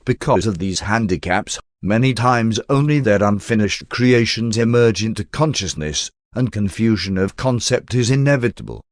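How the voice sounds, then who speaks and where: A man's voice: lowish voice, normal speed, hardly any pauses, no echo. Man narrating, dry close studio sound. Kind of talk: audiobook reading of spiritual text.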